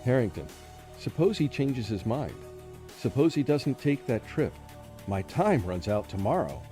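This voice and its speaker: An actor playing a tormented, fearful professor who narrates his own story in a radio play, with an anguished, halting delivery. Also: mid-range voice, very animated, warm tone. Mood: frantic. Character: tormented, fearful male professor under a deadly curse. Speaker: narrating lead in a radio drama. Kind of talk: dramatic first-person radio play narration. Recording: prominent music under the voice.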